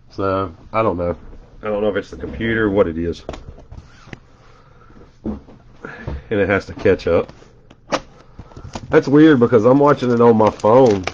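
A man's voice: on the low side, a little mellow, lo-fi sound, slight background noise. A congested man doing casual live commentary as he opens trading card packs, rattling off player names with chatty asides. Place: indoor room at a table.

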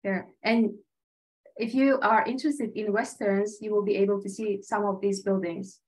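A woman's voice: medium pitch, fairly even intonation, moderate pace, a little mellow, rough recording. Woman presenting over video call. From Tajikistan. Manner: earnest, conversational, frequent fillers. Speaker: panelist sharing exchange teaching experience. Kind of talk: online panel presentation with slides.

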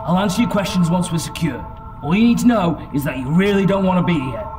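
An actor playing an urgent, brusque commander on a rescue in a radio drama. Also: male voice, sits high, quick pace, lightly acted. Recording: distant voice with music behind.

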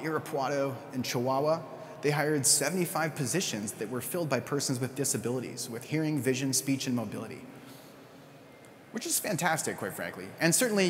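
A man giving a conversational conference keynote, with fillers and quick self-corrections. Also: medium pitch, in a large hall, a little hiss or hum. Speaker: keynote speaker.

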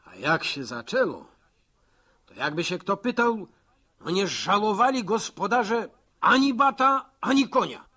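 An actor narrating as a folksy village storyteller in a vintage radio drama. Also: male voice, sits high, unhurried, breathy edge, exaggerated voice. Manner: folksy, vivid, rising to excitement. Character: rustic village storyteller man.

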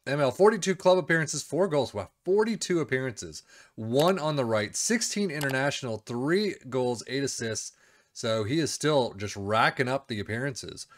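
Middle-aged male gaming creator, mid-range voice, casual commentary, small home room. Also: mid-range voice. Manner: chatty, reads stats aloud with asides. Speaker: video game YouTuber. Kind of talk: casual commentary on a game save.

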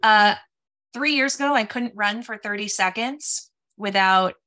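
A woman in her thirties, raised in Minnesota, chatting as a guest on a video-call podcast, animated and full of casual fillers. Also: mid-range voice, measured pace. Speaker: podcast guest, sales executive. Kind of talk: casual interview chat. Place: home office, small room.

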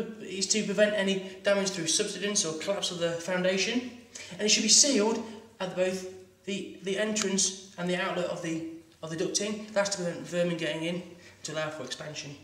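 A man's voice: sits high, a bit bright, distant mic, slight background noise. A man in his thirties giving a hands-on plumbing demonstration in a training workshop, explaining step by step and often restarting his sentences. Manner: practical, instructive, stumbling restarts. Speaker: plumbing instructor. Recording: echoey room, voice a bit off-mic.

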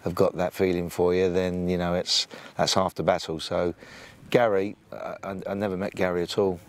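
An English man in his sixties with a booming voice, speaking plainly with many ums and restarts as an assistant football coach in a sit-down interview outdoors by a training pitch. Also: level tone, normal speed. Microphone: off-camera mic, no lapel.

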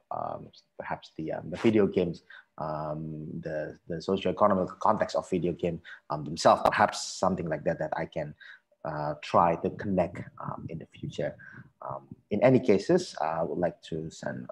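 A man in his thirties with an Indonesian accent, answering as an academic panelist on a headset, politely and haltingly, often repeating himself. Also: sits low, creaky, low-quality recording. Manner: hesitant, halting, repetitive, polite. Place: small room at home, video call.

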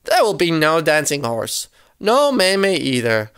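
A woman's voice: low voice, very animated, a little slow, smooth, no echo, studio quality, heavily acted. A woman voicing a bossy, theatrical stage-singer sister in a children's story, with a matter-of-fact way of talking. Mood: showy, composed.

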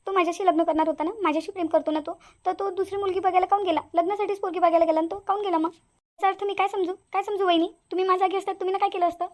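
A woman's voice: very high-pitched, fairly even intonation, rapid-fire. A woman voicing a heartbroken young village woman, who talks tearfully and repeats her complaints. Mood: pleading.

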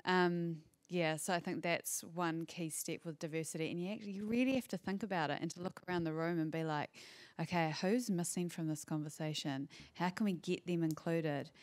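A woman on a panel talks with earnest emphasis, her voice sitting a little low for a woman. Her handheld microphone gives a dry, close sound with hardly any room echo. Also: moderate pace, hardly any pauses. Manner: earnest, emphatic, acts out inner questions. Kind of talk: conference panel discussion. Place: conference room stage.

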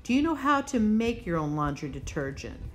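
A woman's voice: mid-range voice, sing-song, moderate pace. A woman hosting a preparedness vlog, talking casually and instructively, plainspoken and speaking straight to the viewer. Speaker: host of a prepping channel.

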